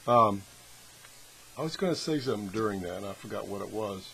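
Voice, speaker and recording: A man's voice: lowish voice, expressive, brisk, bright, forceful tone. A man talking casually and off the cuff as he tests a product, thinking aloud with a self-deprecating, hands-on manner. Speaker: product reviewer. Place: home workshop, indoors. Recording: clearly noisy workshop recording.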